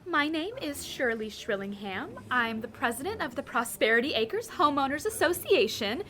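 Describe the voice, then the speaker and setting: A woman's voice: sits high, lively intonation, moderate pace, a bit bright, a little hiss or hum. A woman playing a perky, proud suburban homeowners' association president, chatty and presentational, in an audio comedy. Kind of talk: comedic audio fiction monologue.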